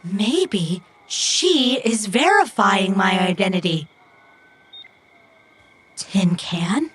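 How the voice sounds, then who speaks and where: A woman's voice: medium pitch, lively intonation, very slow, a bit bright, slight background noise. A woman playing an earnest, childlike and stubborn runner in an audio drama, with formal, literal, precise phrasing. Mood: stubborn, puzzled. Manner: formal, stilted, precise phrasing without contractions.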